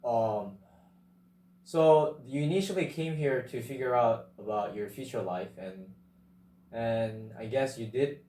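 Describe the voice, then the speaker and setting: A man's voice: fairly low pitch, dark tone. Curious male interviewer in a roomy space. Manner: curious, sums up the answers. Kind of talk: casual interview. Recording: roomy with faint background noise.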